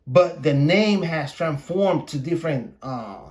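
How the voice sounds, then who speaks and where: Male podcast host, native Spanish speaker. Slowish, halting delivery, slightly high pitch, blunt and profane off-the-cuff ranting. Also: expressive, darkish tone. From Puerto Rico. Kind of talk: opinionated casual monologue, rant.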